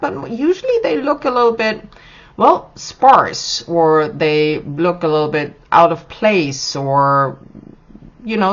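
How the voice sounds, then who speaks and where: A hoarse middle-aged woman hosting a podcast, talking in a chatty, rambling way with restarts. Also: medium pitch. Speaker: podcast host. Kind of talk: casual podcast monologue. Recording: dull lo-fi recording.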